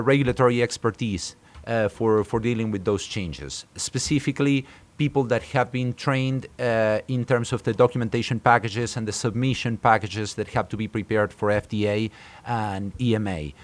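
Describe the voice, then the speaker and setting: Halting man from Argentina in an interview. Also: medium pitch. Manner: halting, hesitant phrasing with repeated words. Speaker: startup co-founder being interviewed. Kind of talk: interview answers.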